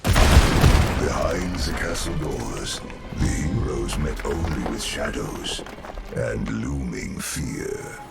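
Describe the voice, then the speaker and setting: A man voicing a brooding, ominous narrator telling a dark tale for a video game, in a deep gravelly voice. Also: lively intonation, slow, full character voice. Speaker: storybook narrator of the game event. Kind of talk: dramatic scripted storytelling narration. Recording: distant voice over loud game music.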